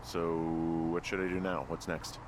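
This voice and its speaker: A man playing a laconic, sardonic fire lookout in a video game, deadpan and a little hesitant. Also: sits low, moderate pace, heavy background noise, close to an everyday voice. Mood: dry, joking. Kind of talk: naturalistic video game voice acting.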